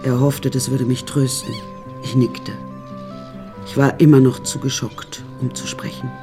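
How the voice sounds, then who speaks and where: An actress playing a self-possessed young woman who narrates her story in a dry, measured way in a radio drama. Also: very deep voice, flat delivery, music in the background. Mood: wry, composed.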